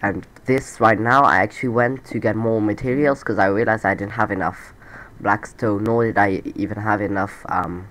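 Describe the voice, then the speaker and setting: A man's voice: lowish voice, fairly even intonation, normal speed, fairly bright tone. A man hosting a gaming channel, giving casual voice-over commentary over sped-up gameplay in a rambling, chatty way and correcting himself mid-sentence.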